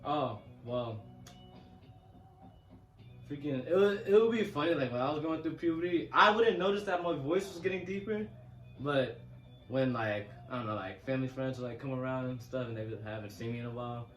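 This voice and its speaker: A man's voice: medium pitch, normal speed, a bit bright, soft background music. A young man co-hosting a casual podcast, telling an easygoing story. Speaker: podcast co-host. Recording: echoey room, mic at a distance.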